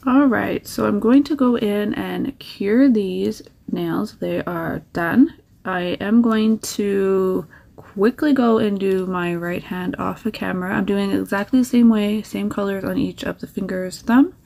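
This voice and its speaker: Woman chatting thru her nail art tutorial, friendly tips, middle pitch, easygoing. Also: unhurried, gravelly, darkish tone. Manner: chatty, encouraging, gives tips. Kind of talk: casual tutorial voice-over.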